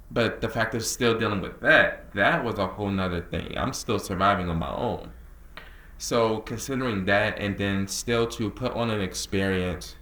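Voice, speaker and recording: A man of nineteen talks casually and earnestly to camera as a creative director, drawling some words and rushing others. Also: fairly low pitch, a bit bright. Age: young adult. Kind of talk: casual talking-head vlog. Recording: echoey room.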